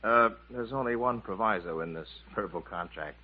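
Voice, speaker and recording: An actor playing an easygoing inn owner and singing entertainer in a 1950s radio musical, with a wry, showmanly manner. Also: male voice, lowish voice, moderate pace, faint music behind, natural voice. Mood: genial, wary. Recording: dull 1950s radio broadcast with orchestra.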